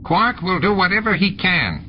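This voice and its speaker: A man voicing a bossy, scheming old male alien patriarch in a querulous, drawn-out, imperious way. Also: high voice, expressive, lo-fi sound, faint music behind, pitched above the performer's own voice. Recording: noisy audiobook with added sound effects.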